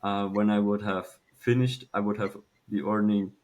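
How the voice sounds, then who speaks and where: A man, telling a personal story casually and haltingly, with some swearing. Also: lowish voice, flat delivery, darkish tone, highs cut off. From Switzerland. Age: early 20s. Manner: halting, informal, swears freely. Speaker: podcast guest, young entrepreneur.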